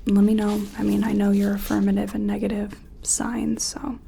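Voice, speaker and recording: A woman playing a gentle, curious sci-fi examiner in an ASMR role-play, soft-spoken and slipping in and out of a soothing whisper. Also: medium pitch, completely flat delivery, normal speed, vocal fry, slight background noise.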